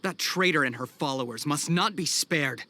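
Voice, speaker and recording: An actor playing a scornful, menacing mercenary and shady dealer in a video game, with a sneering, boastful manner. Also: male voice, a little high, moderate pace, bright, forceful tone, slight background noise. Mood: scornful, vengeful. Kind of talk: video game cutscene acting.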